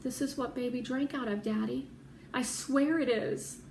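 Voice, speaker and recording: A woman's voice: sits high, steady tone, normal speed, vocal fry, a little mellow, pitched above the performer's own voice. A woman voicing an earnest, anxious young girl from a children's novel, eager and pleading. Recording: roomy echo with background noise.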